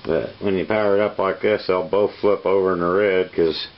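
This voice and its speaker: A man's voice: sits low, steady tone, a little fast. A man chatting casually and talking off the cuff about his CB radio gear. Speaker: CB radio operator demonstrating his set. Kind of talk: casual chat and off-the-cuff equipment walkthrough. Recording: dull, very noisy CB radio setup.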